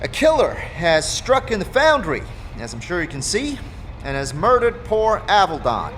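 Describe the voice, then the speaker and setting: A man voicing a brisk, weary woman who supervises a foundry floor in a fantasy audio drama. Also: high voice, huge pitch swings, a bit bright, slight background noise, voice pushed up, exaggerated voice. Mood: weary, businesslike.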